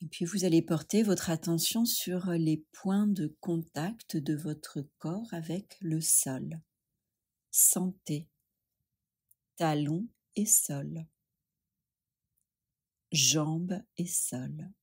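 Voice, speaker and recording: A woman leading a guided yoga nidra meditation, speaking gently and soothingly with long pauses. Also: sits low, husky.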